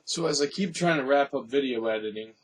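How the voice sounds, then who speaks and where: A man's voice: mid-range voice, fairly quick, slightly rough, distant mic, poor audio. A man giving off-the-cuff news commentary, rambling and thinking aloud. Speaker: commentator and host.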